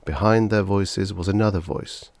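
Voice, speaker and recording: A man's voice: sits low, fairly even intonation, normal speed, gravelly, a little mellow, no echo. A man narrating fiction as a scripted audiobook reader.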